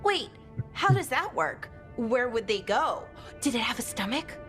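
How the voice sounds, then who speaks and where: An actress voicing a curious, questioning companion in a fantasy video game. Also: female voice, on the high side, sing-song, measured pace, fairly bright tone. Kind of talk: video game cutscene dialogue. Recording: game music behind the voice.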